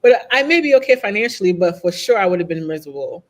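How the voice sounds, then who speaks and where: A woman speaks as a guest in a relaxed interview. She is open and reflective, and she works through her thoughts as she goes. She keeps tagging her points with a soft "right?". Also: mid-range voice, quick pace. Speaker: podcast guest. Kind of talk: candid conversational interview.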